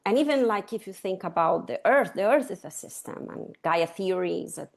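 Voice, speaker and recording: A woman's voice: on the low side, animated, moderate pace, vocal fry. A woman in her fifties from Chile with a Chilean Spanish accent, explaining ideas step by step as an interview guest. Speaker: guest, sustainability consultant. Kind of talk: conversational interview.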